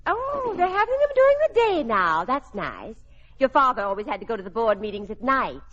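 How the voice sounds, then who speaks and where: A woman's voice: on the high side, wildly swooping pitch, a little fast, a bit bright, heavily acted. An actress playing a dotty, cheerful older mother in a radio comedy, fluttery and exclaiming. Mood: cheerfully dotty. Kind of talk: 1940s radio comedy acting. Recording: dull, noisy old radio recording.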